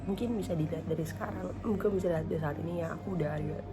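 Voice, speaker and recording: A woman's voice: on the low side, racing, over music. A woman tells a personal story in a casual, conversational vlog style, often repeating and rephrasing herself. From Indonesia. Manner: conversational, repeats and rephrases, ends phrases with "gitu". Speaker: beginner vlogger. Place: hospital isolation room. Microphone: handheld camera, later set down in front of her.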